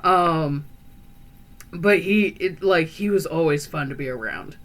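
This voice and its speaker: A woman's voice: low-pitched, expressive, measured pace. A woman playing a chatty, earnest young female vampire who talks in a rambling, hesitant way full of fillers and restarts. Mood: musing. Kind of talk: in-character roleplay monologue.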